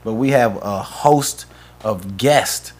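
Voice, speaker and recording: A man co-hosting a relaxed podcast. He talks up the season's guests and pages in a loose, halting way, full of fillers and restarts. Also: on the low side. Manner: hyped promo talk with many fillers and restarts. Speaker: podcast co-host. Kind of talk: casual podcast chat.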